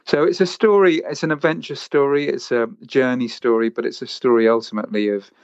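A man's voice: mid-range voice, fairly even intonation, brisk, dry recording. A man, a theatre creative director, answering interview questions conversationally and explaining a show. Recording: slightly dull, band-limited sound.

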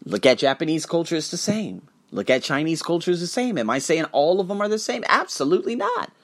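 A man hosting a podcast, delivering an emphatic, profane monologue to camera with sudden rises in pitch and frequent restarts. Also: big pitch swings, a little fast, fairly bright tone. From United States. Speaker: podcast host.

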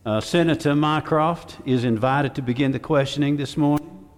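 A man's voice: fairly high pitch, moderate pace, lightly acted. A man playing a fussy, flustered senator chairing a hearing in a podcast drama, formal yet hesitant. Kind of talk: naturalistic fiction podcast acting. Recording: long echo of a large hearing hall.

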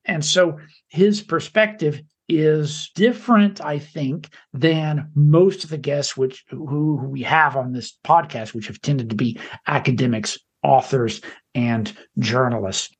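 A man with a fairly high, husky voice co-hosts a podcast. He reads the introduction in a measured, slightly halting way. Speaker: podcast co-host.

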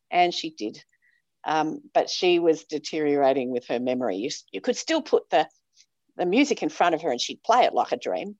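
A woman's voice: low voice, darkish tone, no echo, dull audio. A middle-aged Australian woman, a priest, talking in a chatty, emphatic way with frequent ums. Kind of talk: casual chat.

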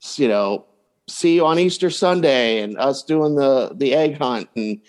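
A man's voice: medium pitch, animated, measured pace. A man giving conversational advice as a coach and podcast guest, often voicing hypothetical remarks as he explains.

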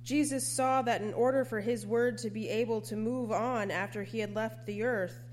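Female preacher, somewhat high-pitched, reading a prepared sermon on a faintly noisy recording. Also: steady tone, moderate pace. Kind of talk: scripted sermon.

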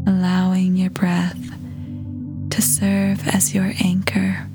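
Female meditation guide: soft breathy near-whisper, very slow, even pitch, gentle and soothing. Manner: soothing, gentle, measured with long pauses. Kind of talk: guided meditation, scripted narration. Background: music.

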